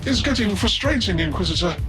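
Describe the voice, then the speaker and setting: An actor playing an obsessive, self-justifying renegade tech-priest scientist in a sci-fi video game, with formal, theatrical speech. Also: male voice, high-pitched, lively intonation, a little fast. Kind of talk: video game dramatic acting. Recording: loud music over the voice.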